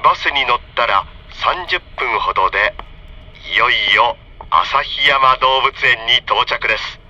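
A man reading a scripted onboard train announcement for children in friendly, polite, clearly enunciated phrases, heard over a train PA. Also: medium pitch, animated, unhurried, sharp tone. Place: train car, over the PA system. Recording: dull train PA with faint noise.

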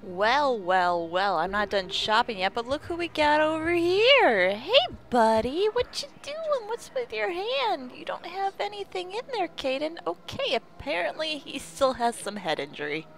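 A young woman giving casual, jokey let's-play commentary close to her mic, with a low morning voice. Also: very animated, a little slow, hardly any pauses, a bit bright. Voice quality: lower morning voice. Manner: chatty, jokey, swears, laughs a lot. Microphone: close desk mic.

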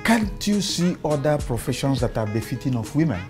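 A middle-pitched older Nigerian man acted in a film drama. He is a traditional, disapproving father who chides with exasperation, with soft music behind him. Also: sing-song, brisk, lightly acted. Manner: chiding, exasperated.

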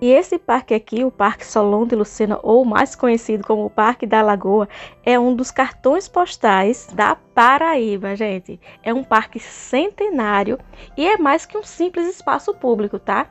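A woman vlogger, chatting to viewers while touring a park at night, her voice a bit hoarse, recorded on a phone. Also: fairly high pitch, lively intonation, moderate pace. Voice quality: hoarse at times. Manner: chatty, addresses viewers directly. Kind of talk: casual vlog tour narration. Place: outdoor city park at night. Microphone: phone camera at arm's length.

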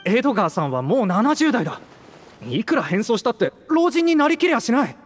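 A woman voicing a hot-headed, loyal young male mercenary in an anime game, with impassioned, stubborn, rough speech. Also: on the high side, very animated, brisk. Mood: agitated disbelief. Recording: roomy reverb, faint music behind.

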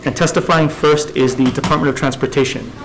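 A man's voice: a little high, steady tone, noisy background. A man, chairing a government committee hearing from a desk microphone in a hearing room. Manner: measured, businesslike, reading out agenda items. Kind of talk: formal hearing procedure.

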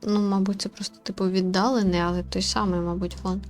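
Female gaming commentator, mid-range voice, casual and questioning, thinking out loud over faint music. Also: medium pitch, flat delivery, quick pace. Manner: musing aloud, questioning. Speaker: gaming video host and commentator. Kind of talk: casual off-the-cuff game commentary.

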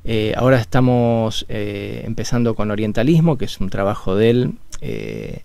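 A man from Buenos Aires with a Rioplatense Argentine accent, a guest on a radio interview, explaining things in a measured way with frequent pauses and filler sounds, recorded in a radio studio. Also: on the low side, sing-song, vocal fry, warm tone, smooth, dry recording. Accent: Argentine Rioplatense Spanish.

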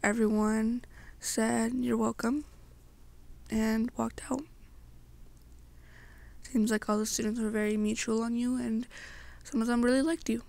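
A young woman narrating an ASMR story to the listener in a halting way, pausing between short phrases. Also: on the high side, level tone, creaky, a little mellow. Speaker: amateur roleplay narrator.

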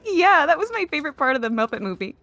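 A woman's voice: high-pitched, very animated, fast, fairly bright tone, soft background music, full character voice. A woman voicing a cheerful, chatty young girl, casual and helpful, in an improvised comedy game fan dub. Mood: friendly. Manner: casual, friendly.

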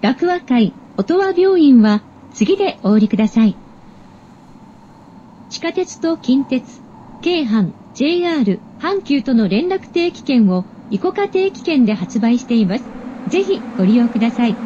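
A woman's voice: high-pitched, big pitch swings, measured pace, mellow. A woman giving formal, polite scripted train announcements with careful diction. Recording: dull recording with train noise. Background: subway train ambience.

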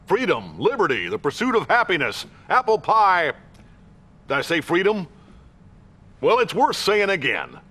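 A man's voice: high voice, wildly swooping pitch, a little slow, long pauses, pushed and bright, exaggerated voice. An American man in his fifties playing a blustering, stubborn president in a game cutscene, emphatic and bombastic. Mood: indignant.

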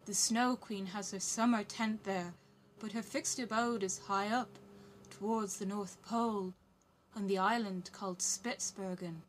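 A woman voicing a gentle captive male reindeer in a storybook, drawn-out and wistful. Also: medium pitch, fairly even intonation, slight background noise, natural voice, low-quality recording. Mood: dreamy, wistful. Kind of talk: storybook character voice.

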